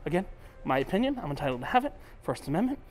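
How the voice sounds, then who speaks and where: Man, fairly high voice, tipsy, blunt, swears a lot, casual vlog on a cruise ship. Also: very animated, rapid-fire, a little mellow, a little hiss or hum. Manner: blunt, swearing, ranting, drops to a mutter at times. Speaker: cruise vlogger. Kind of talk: casual walk-and-talk vlog. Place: aboard a cruise ship, indoors.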